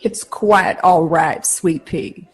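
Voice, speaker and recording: An actress playing a poised, glamorous and warm lady star of the 1940s in a period audio drama, with a husky voice. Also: on the low side, takes it slowly. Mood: gentle.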